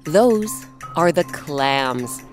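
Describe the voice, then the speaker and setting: A woman hosting and narrating a children's story in an expressive, scripted style with dramatic pauses. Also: sits low. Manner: clear, animated, dramatic pauses. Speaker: host and narrator. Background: instrumental music.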